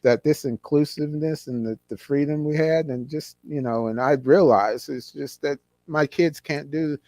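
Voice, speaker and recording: A man's voice: on the high side, moderate pace, darkish tone, dry recording. A man in his sixties, from California, reminiscing as an interview guest in a rambling way, with many restarts. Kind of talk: casual conversation.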